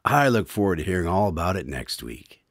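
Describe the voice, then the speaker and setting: A man's voice: deep voice, big pitch swings, a little fast, dry recording, clean studio sound. A man hosting a scripted radio drama in an old-style presenter's manner. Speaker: radio show host.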